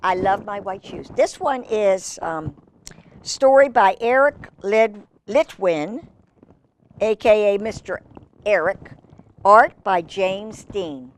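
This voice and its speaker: A woman hosting children's storytime, reading aloud and chatting in an expressive, gushing manner with fond asides. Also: mid-range voice, slow pace. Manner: gushing, expressive, exclaiming over pictures.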